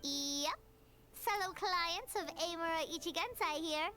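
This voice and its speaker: A woman voicing a tiny, bubbly, outspoken fairy companion with a squeaky, childlike voice in a video game. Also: measured pace, pushed and bright, faint music behind, full character voice. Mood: chirpy.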